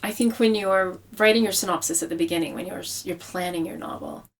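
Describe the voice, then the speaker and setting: Female author in conversation, slightly low register, explains writing craft with emphatic, repeated phrasing. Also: brisk. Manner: explanatory, with emphatic repetition for effect. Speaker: novelist being interviewed.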